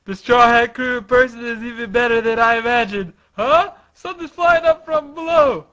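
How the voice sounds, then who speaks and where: Man playing a loud, high-pitched gushing fanboy. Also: normal speed, dull audio. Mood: gushing, alarmed. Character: excitable, devoted pirate fan, a loud young man.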